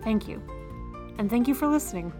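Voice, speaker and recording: A woman's voice: on the high side, expressive, brisk. A woman reading a scripted podcast outro as the announcer. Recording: music bed under voice. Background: music.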